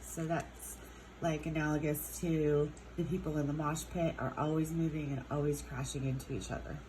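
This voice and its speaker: Congested woman teaching slowly, noisy recording. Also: low voice, level tone, warm tone. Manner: unhurried, many ums, drawn-out words. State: stuffed-up nose, congested. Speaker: chemistry teacher. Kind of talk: recorded classroom lesson.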